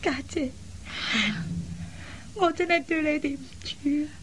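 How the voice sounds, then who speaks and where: Frail younger sister, high faint voice, breathy, halting, remorseful, radio drama, slight noise. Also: female voice, steady tone. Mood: weak, remorseful.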